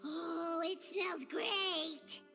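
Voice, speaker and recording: An actress voicing a tiny, chirpy, childlike fairy companion in a video game. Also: female voice, extremely high pitch, fairly even intonation, drawn-out words, gravelly, bright, forceful tone, soft background music, exaggerated voice. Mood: curious.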